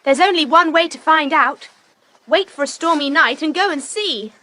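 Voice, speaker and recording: A woman's voice: high voice, moderate pace, bright, forceful tone. A woman playing a keen, outspoken child adventurer with a forthright manner in a children's audio drama. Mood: keen, spirited. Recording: slightly noisy tape transfer.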